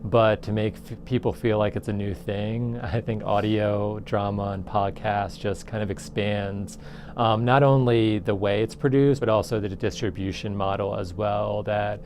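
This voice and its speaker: A man's voice: lowish voice, fairly even intonation, a little slow, a little mellow, slight background noise. A man who teaches, explaining things informally in a documentary interview. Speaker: audio production teacher.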